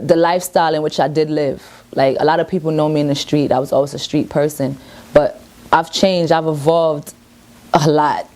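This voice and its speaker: A woman's voice: deep voice, dark tone. A woman, a reggae artist speaking at her home in a casual interview. She talks earnestly and self-assuredly in loose, rambling sentences. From Brooklyn, New York.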